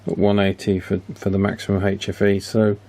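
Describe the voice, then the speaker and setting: A man's voice: sits low, monotone, a little fast, dark tone. A British man giving casual, hands-on repair commentary, thinking aloud in a matter-of-fact way as he presents. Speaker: radio repair hobbyist presenter.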